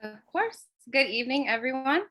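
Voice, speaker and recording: Bright-voiced woman presenting her art project. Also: high-pitched, animated, normal speed. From Los Angeles, US. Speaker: resident artist presenting a project. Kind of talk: prepared presentation.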